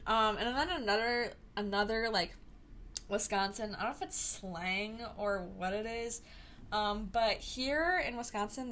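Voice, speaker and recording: A woman's voice: medium pitch, a bit bright, slight background noise. A young woman from the Chicago area hosting a solo podcast, chatting casually and rambling through tangents with many fillers. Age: young adult. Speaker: podcast host.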